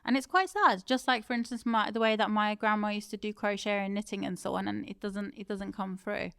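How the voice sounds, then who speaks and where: A woman's voice: mid-range voice, a little fast, a bit bright, clear, resonant tone, no room sound, clean studio sound. A woman in her thirties, born in Turkey and raised in the UK, speaking thoughtfully as an interview guest. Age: 30s. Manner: thoughtful, with frequent self-corrections. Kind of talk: reflective interview conversation.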